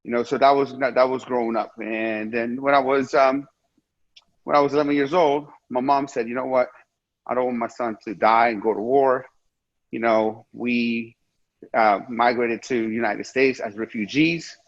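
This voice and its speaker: A man's voice: medium pitch, fairly even intonation, moderate pace, no room sound. Earnest man from Iran on a muffled video call. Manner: earnest, repeats phrases with many restarts. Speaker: guest. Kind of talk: casual interview talk. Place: indoor room with ceiling fan, video call.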